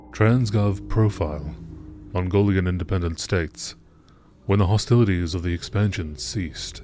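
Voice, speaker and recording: A man narrates in the style of a nature documentary. His phrasing is measured and deliberate, as he reads scripted text aloud. There is clear background noise and ambience in the recording. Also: low-pitched, darkish tone. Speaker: video creator reading game lore aloud.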